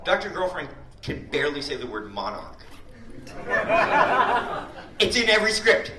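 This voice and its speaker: A man in a casual chat as a voice actor and show creator, animated and joking as he talks about character voices. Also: a little high, normal speed, a loud crowd. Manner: animated, joking, often laughing. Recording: echoey, distant, very noisy.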